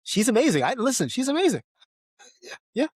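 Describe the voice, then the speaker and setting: A man's voice: very high-pitched, sing-song, brisk, darkish tone, breathy edge, no echo, studio quality. A man co-hosting a podcast, giving a casual film review and animatedly acting out bits as he goes. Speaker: podcast co-host.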